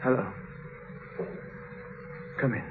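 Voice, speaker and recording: A man's voice: extremely slow, phone-quality sound. A man playing a tormented, guilt-burdened husband in a 1950s radio drama, grave and bitter in delivery. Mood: weary, distracted. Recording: thin, faintly noisy vintage radio recording.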